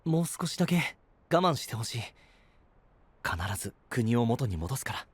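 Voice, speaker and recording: A man playing an earnest, responsible young hero in a Japanese anime audio drama, gentle and serious. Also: medium pitch, sing-song, moderate pace, breathy edge, no room sound. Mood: subdued, pleading.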